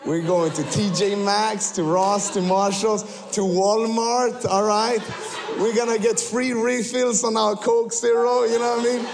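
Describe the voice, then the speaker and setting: A man's voice: sits high, level tone, measured pace, gravelly, over music. A young man born in Sweden, a guest missionary preacher speaking casually to a church congregation with jokes and fillers. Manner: chatty, joking, frequent laughs and fillers. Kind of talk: casual sermon to a church crowd. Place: church sanctuary.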